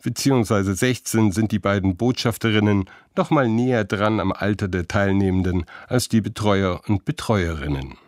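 A man narrating a news report in a measured, even voice-over. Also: fairly low pitch, no room sound, clean studio sound. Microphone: studio voice-over.

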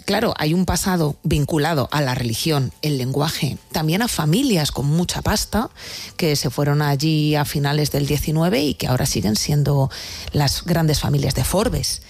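A woman talking in an interview as a theatre director, telling her story in a wry, animated way. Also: sits low, moderate pace, breathy edge, no room sound. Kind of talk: conversational interview answers.

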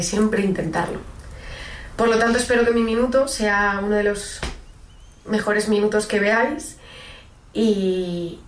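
A woman's voice: medium pitch, reverberant, recorded from a distance, slight background noise. A young actress in her twenties from Madrid, earnestly introducing herself in an audition tape. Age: 20s. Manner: earnest, heartfelt. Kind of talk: self-introduction to camera.

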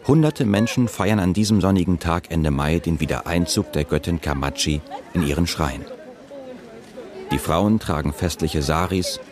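A man reading a scripted documentary narration in a calm, measured way. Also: sits low, crowd noise. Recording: voice-over with music behind.

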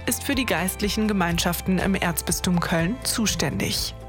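A woman's voice: fairly low pitch, normal speed, soft background music. A woman reading scripted documentary narration as the presenter.

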